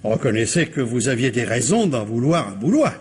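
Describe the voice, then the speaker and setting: An actor playing a shrewd, insistent police commissioner who questions a suspect with courteous, insinuating pressure, in a French radio crime drama. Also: male voice, mid-range voice, very animated, a little fast, a little mellow, breathy edge. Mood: probing, courteous.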